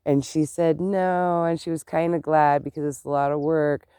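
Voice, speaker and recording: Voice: medium pitch, level tone, moderate pace, mellow, clear, resonant tone, no echo, studio quality. A vlogger with a stuffed-up nose and clogged ears, chatting casually and rambling to the camera, outdoors on a rural property. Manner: chatty, meandering, exclaims often.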